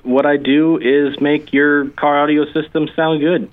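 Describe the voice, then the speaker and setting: Brief: easygoing male guest on a tinny phone line, explaining calmly. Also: a little high, a little slow. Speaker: guest, audio engineer. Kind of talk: phone interview. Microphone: telephone.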